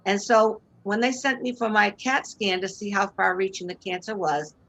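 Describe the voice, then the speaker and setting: A woman's voice: mid-range voice, fairly even intonation, normal speed, fairly bright tone. A woman in her sixties with a Boston accent, a guest on a video call telling her story with earnest faith. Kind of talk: personal testimony in interview. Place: home room indoors. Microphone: video call webcam.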